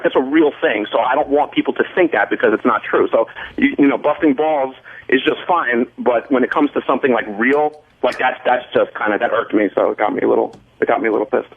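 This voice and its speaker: Defensive guy on a muffled phone line. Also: medium pitch. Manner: defensive, halting, repetitive, profane. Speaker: radio show staffer calling in sick. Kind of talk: casual radio banter, explaining himself. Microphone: phone call.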